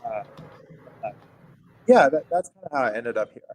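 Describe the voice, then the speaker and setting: A man's voice: medium pitch, lively intonation, normal speed, slight background noise. A young man from Cameroon speaking as a physician guest in an interview, telling his story in an informal, conversational way. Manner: informal, conversational, often says "like" and "right?". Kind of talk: casual personal storytelling in an interview.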